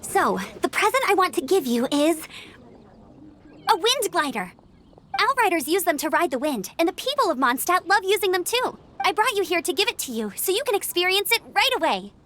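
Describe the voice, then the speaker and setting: A woman's voice: very high-pitched, sing-song, normal speed, a bit bright, heavily acted. A woman voicing an upbeat, dutiful young female knight in a video game, speaking in an earnest, eager and slightly formal way. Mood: eager, friendly. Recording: music behind the voice.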